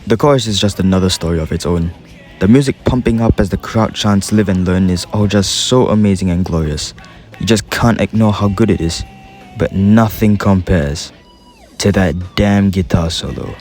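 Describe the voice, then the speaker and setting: Deep-voiced young Singaporean man. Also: normal speed, a little mellow. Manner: casual, enthusiastic, swearing, slang. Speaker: YouTuber ranking game music. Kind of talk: scripted commentary voice-over. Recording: faint music behind the voice.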